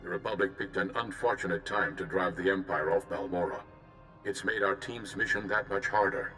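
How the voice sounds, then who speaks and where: A calm Jedi mission leader in a video game. His voice is low, his phrasing formal and measured. Faint music plays behind him. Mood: courteous, grave.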